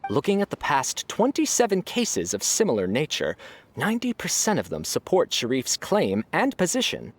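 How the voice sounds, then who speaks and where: A man voicing an impartial arbiter who rules on a debate in a measured, formal way. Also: on the high side, huge pitch swings. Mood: neutral. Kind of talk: game voice acting.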